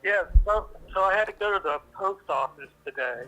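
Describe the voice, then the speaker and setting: A man's voice: high-pitched, expressive, moderate pace, sharp tone. A man calling in to a show, chatting casually. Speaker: caller. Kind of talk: casual chat. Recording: muffled dull call-in line.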